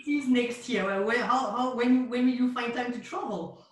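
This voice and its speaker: A woman with a French accent hosting a casual interview, chatty and quick to laugh. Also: on the high side, noisy background. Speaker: interviewer, host. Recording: roomy, distant mic.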